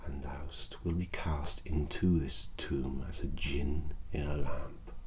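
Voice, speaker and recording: A scheming, wretched old court alchemist, as a man acts him out with a Welsh lilt, his voice sunk extremely deep. He talks slowly and softly in an archaic hush that drops to a whisper at times, in an ASMR roleplay. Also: steady tone, slight background noise. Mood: quietly menacing. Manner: archaic thee-and-thou speech, soft and hushed, sometimes whispered.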